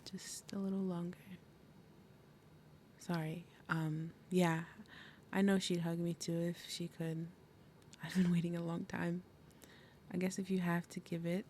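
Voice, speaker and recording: A woman playing a fierce, protective demon mother and princess of wrath in an ASMR roleplay, with dramatic, breathy, menacing delivery. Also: lowish voice, darkish tone, a little hiss or hum. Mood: softened, touched.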